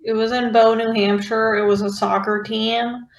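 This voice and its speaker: A woman in casual discussion, explaining her view haltingly with frequent filler words and restarts. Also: a little high, little pitch movement. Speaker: podcast guest. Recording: roomy, echoing sound.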